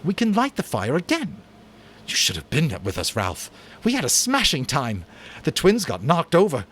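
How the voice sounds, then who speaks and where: A proud, excited boy hunter leader bursts out high and loud, as a man puts him on. His voice jumps about, eager and boastful, in a storybook reading. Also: moderate pace, heavily acted.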